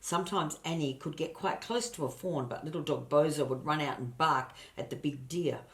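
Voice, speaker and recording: An older woman with a low voice reads a children's story aloud. Her delivery is expressive and grandmotherly, as if showing the book to young listeners. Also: moderate pace. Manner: grandmotherly, addresses listeners and points out pictures. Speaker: storybook reader.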